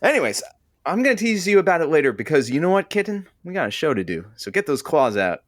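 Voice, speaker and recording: A man's voice with very animated pitch plays an upbeat radio producer. He is breezy, chummy and prodding, in casual radio drama banter, recorded close and dry. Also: fast talker, dry recording. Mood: teasing, prodding. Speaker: radio show producer announcing callers.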